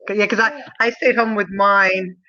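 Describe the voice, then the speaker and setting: An older woman from the United States who tutors English online in a chatty, friendly way. Her voice has a bright, forward edge. The sound comes through a slightly dull video-call line. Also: mid-range voice, fairly even intonation, normal speed. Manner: chatty, friendly, asks questions. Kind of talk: casual conversation lesson.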